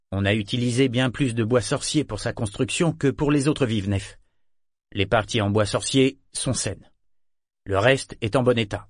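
A man's voice: mid-range voice, no room sound, clean studio sound, natural voice. A man voicing a proud, blunt, bitter sailor in an audiobook. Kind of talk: audiobook character dialogue.

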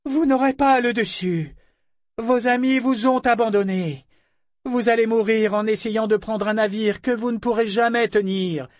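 A man puts on a young woman's stern, commanding voice, raised high and loud. She delivers slow, weighty warnings, read by a male narrator in a dry, close recording. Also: fairly even intonation, dry recording, lightly acted.

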